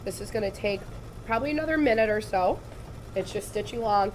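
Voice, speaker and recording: A woman, slightly raspy from laryngitis, giving a chatty, upbeat live sewing tutorial in a studio. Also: mid-range voice, animated, fast, a little hiss or hum. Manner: chatty, upbeat, addresses viewers directly. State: recovering from lost voice. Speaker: embroidery demonstrator. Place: studio set with shelves, indoors.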